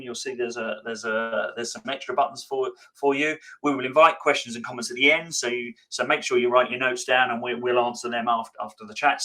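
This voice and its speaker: A man's voice: medium pitch, pushed and bright, rough recording. A man hosting a live interview podcast, chatty and prone to stumbling restarts. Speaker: podcast host.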